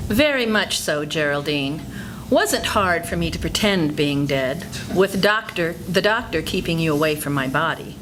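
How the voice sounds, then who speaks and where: Brief: older actress plays a cunning schemer, low, calm, matter-of-fact, rumbling noise under the voice. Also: female voice, moderate pace. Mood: smug. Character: Laura, calm, cunning woman who faked her death.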